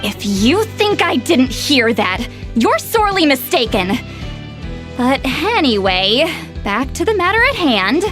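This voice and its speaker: A haughty young female scholar from a video game. Her voice sits fairly high and she lays out her knowledge with crisp, articulate confidence. Music plays behind her. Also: very animated, a little slow, a bit bright, full character voice. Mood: indignant, proud. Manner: articulate, self-assured, explanatory. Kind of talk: video game dialogue, expository storytelling.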